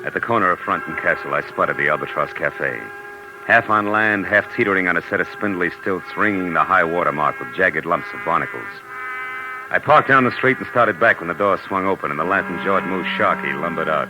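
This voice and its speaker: An actor playing a tough, wisecracking American private detective in clipped, sardonic 1940s radio noir style. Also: male voice, low-pitched, lightly acted, rough recording. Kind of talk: 1940s radio noir acting, hardboiled narration. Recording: hissy 1940s radio recording.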